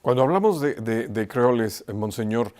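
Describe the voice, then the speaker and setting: A man's voice: medium pitch, animated, normal speed, a little mellow. A man interviewing a guest conversationally, hesitating and repeating words as he forms his questions. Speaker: interviewer, host.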